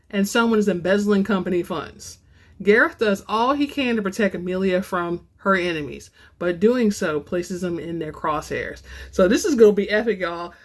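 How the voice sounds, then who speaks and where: A woman hosting a dramatic book reading, moving between informal, expressive chat and narration. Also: medium pitch. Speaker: host and reader of book samples.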